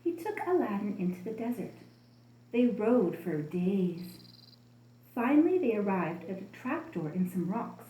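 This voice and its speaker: A woman telling a children's story as an expressive storybook narrator. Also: mid-range voice. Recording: roomy, echoing room sound.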